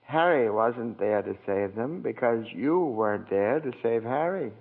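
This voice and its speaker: An actor playing a gentle, bumbling elderly male angel who talks timidly and politely. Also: medium pitch, lively intonation, unhurried, creaky, in a large hall. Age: older. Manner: timid, polite, often says sir. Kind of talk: 1940s radio acting. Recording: tinny 1940s radio broadcast with music.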